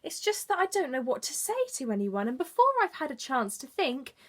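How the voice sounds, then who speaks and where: A woman's voice: high voice, sing-song, brisk, fairly bright tone, voice pushed up. A woman voicing a shy, curious bear who mutters apologetically, in a children's storybook. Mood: sheepish. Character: shy, awkward, curious young-sounding bear.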